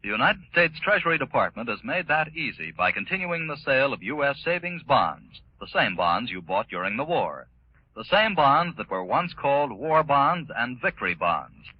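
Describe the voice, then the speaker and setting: A man announcing on 1940s radio, reading a scripted pitch in a formal, emphatic way. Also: big pitch swings, moderate pace, slightly rough. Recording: muffled 1940s radio recording.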